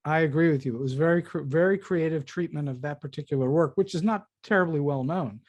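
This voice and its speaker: A man hosting a conversational interview, repeating words haltingly as he thinks. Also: a little high, darkish tone. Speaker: podcast host.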